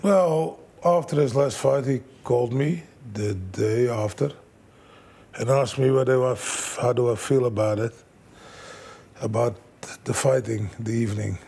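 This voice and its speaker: Gravelly-voiced man telling fight stories. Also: mid-range voice, unhurried. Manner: animated storyteller, broken English, acts out quotes. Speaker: fight trainer, guest. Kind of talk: casual storytelling interview.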